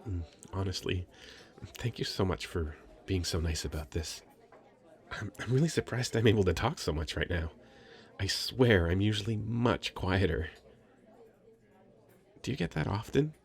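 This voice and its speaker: A man's voice: low-pitched, animated, normal speed, creaky, long pauses. A man playing a shy, sweet, nervous young nerd in an intimate ASMR roleplay, stammering and rambling self-consciously. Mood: shy, earnest. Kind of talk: scripted ASMR roleplay, intimate conversational acting.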